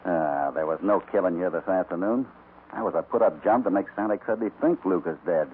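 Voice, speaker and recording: Male actor as commanding cowboy hero, deep voice, brisk clipped orders, vintage radio western, tinny and hissy. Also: natural voice. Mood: deducing. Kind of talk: 1950s radio western acting. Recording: tinny, noisy old radio recording.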